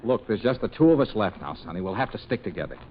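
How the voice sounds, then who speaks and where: Flustered lovesick man, animated old radio drama. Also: on the low side, fast. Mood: resigned. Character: anxious lovesick male private detective, self-pitying and flustered. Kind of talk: 1940s radio drama acting. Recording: dull, noisy old radio recording.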